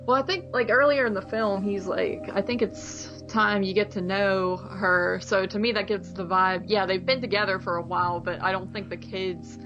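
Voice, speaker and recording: Slightly dull audio carries a woman's mid-range voice over clear music. She co-hosts a film podcast and reviews in a casual, colloquial way. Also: mid-range voice, steady tone, normal speed, hardly any pauses. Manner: informal, colloquial. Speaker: movie podcast co-host.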